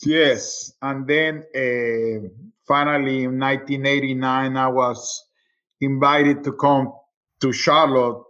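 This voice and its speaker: Man, middle-aged, Mexican accent, native Spanish speaker, halting casual interview talk, medium pitch. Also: steady tone, a bit bright, highs cut off. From Mexico City, Mexico. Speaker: sports radio play-by-play announcer, interview guest.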